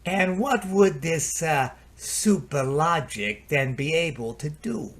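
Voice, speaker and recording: A man playing a harried, excitable computer repairman in a radio play, halting and exclamatory, with folksy turns of phrase. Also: on the high side, a little hiss or hum. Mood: probing, insistent.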